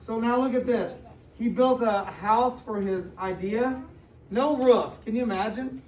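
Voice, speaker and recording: An American woman reads a picture book aloud to young children, pausing to explain and ask questions, with emphatic stretched words. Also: a little high, a little mellow. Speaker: school superintendent reading aloud to children. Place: indoor room with children present. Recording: distant, echoey, muffled room recording with noise.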